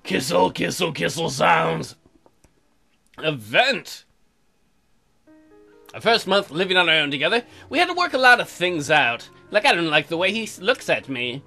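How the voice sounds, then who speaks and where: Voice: medium pitch, sing-song, moderate pace, vocal fry, pauses often, pushed and bright, soft background music. A let's play host reading game text aloud and breaking in with sarcastic, crude joking commentary.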